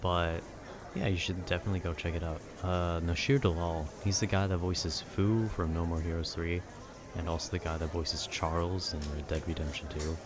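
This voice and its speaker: A man with a very deep voice rambles in a casual, conversational way. He sounds nerdy and meanders from thought to thought like a gamer chatting. Clear ambient noise runs behind his voice. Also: steady tone, moderate pace. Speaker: content creator. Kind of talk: casual rambling monologue about video games.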